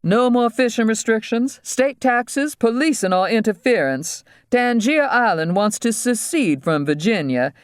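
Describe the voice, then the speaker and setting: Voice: medium pitch, expressive, a little slow, few pauses, clean tone, no room sound, pitched above the performer's own voice, lightly acted. A narrator acts out a scheming dentist playing the desperate hostage in an audiobook. He talks in a raised, urgent voice, formal and overdramatic, recorded dry. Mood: demanding. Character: male mainland dentist, scheming, melodramatic hostage.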